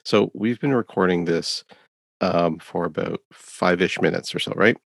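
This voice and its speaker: A man with a very deep, gravelly voice and a husky edge, co-hosting a podcast. He sounds laid-back and agreeable, giving short replies. The sound is dry and close, from a small closet over a video call. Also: moderate pace, no echo. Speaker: podcast co-host. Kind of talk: casual chat. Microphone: video call audio.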